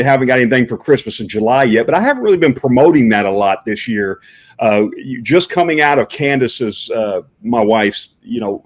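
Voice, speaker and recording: A middle-aged man with a booming voice speaks as an interview guest. He answers casually, often stopping on "uh" and starting his sentences over. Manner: booming voice, filler-heavy with uhs and restarts. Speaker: professional Santa Claus performer, guest.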